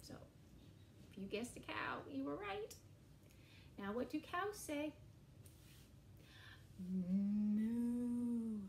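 A woman in her forties leads young children through an animal rhyme with puppets, speaking slowly and in an exaggerated sing-song way. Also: a little high, animated, vocal fry, a little mellow, echoey room, a little hiss or hum. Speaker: early years educator. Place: small indoor room against a bare wall.

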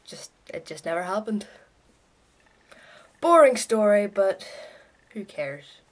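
A woman's voice: medium pitch, sing-song, slow pace. A young adult woman vlogging casually in her bedroom, with an irreverent, offhand, sweary way of talking. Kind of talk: casual chatty vlog to camera. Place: small bedroom, indoors.

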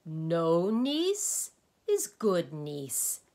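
A woman voicing an emotional, boisterous male smog creature in a storybook reading, bellowing and over-excited. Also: a little high, slow pace, voice pushed up. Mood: roaring with laughter.

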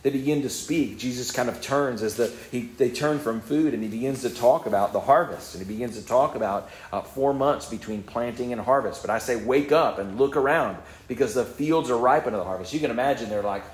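A male pastor preaching a sermon in a calm, conversational way, self-deprecating and often repeating words. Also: mid-range voice, brisk, hardly any pauses, reverberant. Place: church sanctuary.

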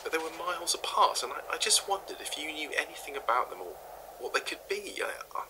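A man's voice: fairly high pitch, animated, fast, noisy background. An actor playing a curious male professor, formal and hesitant. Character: curious male professor recording research tapes.

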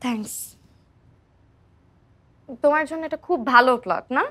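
A woman's voice: sits high, lively intonation, normal speed, sharp tone, full character voice. A young woman with a Bangladeshi accent acting a hurt, guarded college student in a short-film drama, speaking in a pointed, wounded way. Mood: bitter, hurt. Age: young adult.

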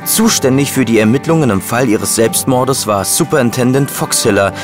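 An actor playing a detective's loyal, wry, plain-spoken sidekick and chronicler in a German audio drama. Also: male voice, mid-range voice, moderate pace, music in the background, natural voice. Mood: measured storytelling.

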